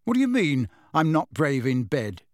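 A man performs a teenage boy wizard from a storybook, sarcastic and defiant. His pitch sits fairly high and moves about freely as he taunts. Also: very animated, normal speed, dry recording, studio quality. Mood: nonplussed.

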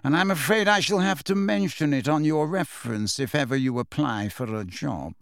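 A man's voice: on the high side, lively intonation, moderate pace, clear, resonant tone, no echo. A man voicing a cold male schoolteacher with a dry, sneering delivery.

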